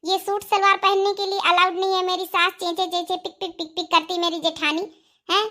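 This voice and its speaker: A woman's voice: very high voice, level tone, brisk, bright, forceful tone. A woman voicing a vain, defiant young schoolteacher wife in a cartoon comedy, with a sassy, haughty manner. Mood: coy.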